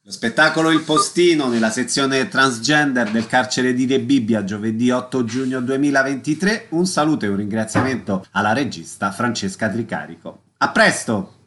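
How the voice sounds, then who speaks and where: A man's voice: mid-range voice, moderate pace, few pauses, a bit bright, a little hiss or hum. A man hosting a radio interview, giving concise prompts and formal announcements.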